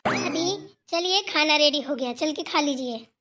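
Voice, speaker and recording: Woman acting as young village daughter-in-law, very high, bright voice, chatty, gossipy, emphatic, cartoon drama. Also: unhurried. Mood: dutiful.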